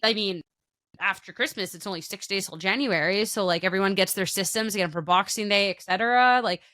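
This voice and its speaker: A woman co-hosting a podcast, chatting casually with a bright, forceful edge to her voice. Her sentences wander, trail off and restart. The recording is dry and close. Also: medium pitch, a little fast, no echo. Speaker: podcast co-host. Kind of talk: casual chat.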